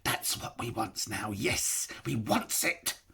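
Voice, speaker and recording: A man voicing a wretched, whispering male cave creature who hisses, wheedles and talks to himself in a high, breathy voice. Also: big pitch swings, slow pace, sharp tone, heavily acted. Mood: gloating. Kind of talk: audiobook character voice.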